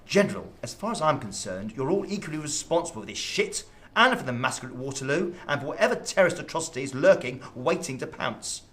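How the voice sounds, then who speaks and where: A man's voice: medium pitch, big pitch swings, quick pace, few pauses, fairly bright tone. A man acting in a radio drama as an exasperated government diplomat, sarcastic and accusing. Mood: exasperated, scathing.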